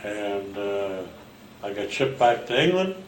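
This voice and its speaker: A man's voice: lowish voice, very animated. Roomy-sounding New man in his eighties. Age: 80s. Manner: rambling, halting storyteller with many restarts. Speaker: World War Two veteran recounting family history. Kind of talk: casual oral history interview. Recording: roomy home recording with faint hiss.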